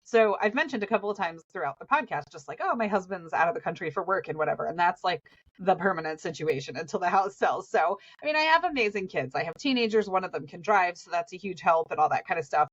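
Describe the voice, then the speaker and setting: A woman's voice: on the low side, lively intonation, fast, slightly rough, muffled recording. A sniffly woman in her forties with a Southern US accent, a podcast co-host chatting casually and laughing often as she tells stories. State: sniffly from allergies.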